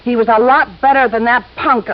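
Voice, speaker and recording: Actress as high-voiced, slangy gun-moll widow. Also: female voice, fairly even intonation, lightly acted. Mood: defensive, wistful. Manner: plain, slangy, halting and defensive. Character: young working-class widow, defensive gun-moll type. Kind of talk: 1950s radio drama acting. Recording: muffled old radio broadcast.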